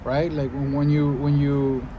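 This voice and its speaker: A man's voice: medium pitch, level tone, measured pace, dull audio, noisy background. A man, a Spanish speaker, musing thoughtfully in a casual monologue to camera and often searching for his words. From Venezuela. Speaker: podcaster and video creator.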